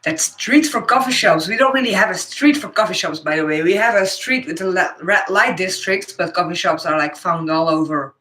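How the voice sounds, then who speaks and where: Dutch-accented female streamer, bright voice, casual painting chatter, echoey room. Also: fairly low pitch, fairly quick, hardly any pauses, distant mic. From Netherlands. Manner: chatty, thinks aloud while working, answers viewers. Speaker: live-stream host. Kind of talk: casual live-stream chat while painting.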